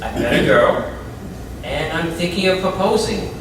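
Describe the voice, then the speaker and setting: Far-off echoey stage, male actor playing earnest reformed ex-convict, high-pitched, emphatic, halting, excitable. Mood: smitten, hopeful. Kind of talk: community theatre stage acting. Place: indoor theatre stage, mic far off. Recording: distant, very echoey stage recording with clear noise.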